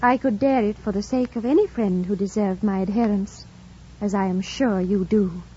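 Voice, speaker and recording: An actress playing a devoted young governess in a mid-century radio drama, with polite, restrained and precise formal diction. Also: female voice, medium pitch, fairly even intonation, moderate pace, mellow, slight background noise, close to an everyday voice. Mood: devoted, steady. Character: devoted, composed young governess, quietly steadfast. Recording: muffled old radio broadcast.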